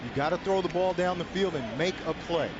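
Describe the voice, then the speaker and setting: A high-voiced man gives plain-spoken, emphatic color analysis of football plays. The broadcast sound is muffled and dull. Heavy noise sits behind him. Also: a little fast. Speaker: color commentator, former player. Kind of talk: sports color commentary.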